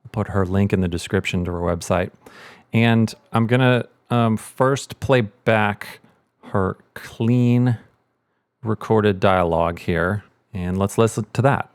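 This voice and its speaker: A man's voice: on the low side, slow, no echo. A middle-aged man hosting a casual audio tutorial, explaining things as a sound mixer would. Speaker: host, sound editor and mixer.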